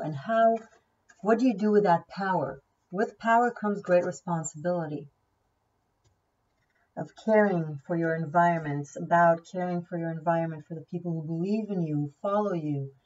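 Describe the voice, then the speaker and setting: A woman's voice: on the low side, normal speed, darkish tone, distant mic, lo-fi sound. A woman whose first language is Hebrew, giving a casual tarot reading, chatty and thinking aloud, often repeating key words. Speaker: tarot reader.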